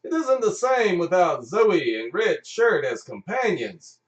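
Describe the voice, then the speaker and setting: A man playing an excitable, wistful old space captain in an amateur comic radio play. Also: high voice, a little slow, pitched above the performer's own voice. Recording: roomy, mic at a distance.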